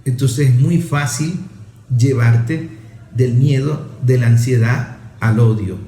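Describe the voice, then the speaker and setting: A man's voice: medium pitch, slow pace, dark tone, roomy sound. A father in his forties speaking earnestly and emphatically, repeating phrases and listing examples for effect. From Venezuela. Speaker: father and author speaking on a talk show. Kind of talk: earnest conversational commentary.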